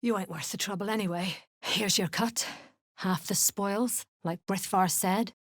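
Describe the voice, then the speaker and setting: Fantasy game duergar fighter, female, voiced by an actress: blunt, menacing, mid-pitched, dry studio sound. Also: measured pace, no echo, close to an everyday voice. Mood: surly, blunt. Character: tough, foul-mouthed female duergar mercenary clan fighter, threatening. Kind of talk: fantasy game character acting.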